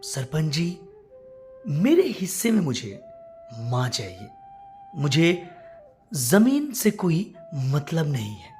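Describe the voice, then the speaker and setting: A woman's voice: deep voice, huge pitch swings, slow, long pauses, a little mellow, soft background music, full character voice. Woman voicing an earnest, devoted young village brother. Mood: earnest, resolute. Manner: earnest, pleading.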